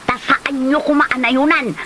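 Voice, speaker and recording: A woman's voice: high-pitched, steady tone, a little slow, background noise. A woman playing a hot-tempered, resentful character spoiling for a fight in a comedy drama, scolding indignantly in an Ilocano accent. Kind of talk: colloquial comedy drama acting.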